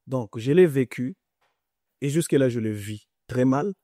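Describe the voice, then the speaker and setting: A man's voice: medium pitch, expressive, unhurried, dark tone, no room sound, clean studio sound. A man from Goma in the Congo, a musician being interviewed, speaking earnestly and reflectively with frequent repetitions. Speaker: musician guest. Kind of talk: interview conversation.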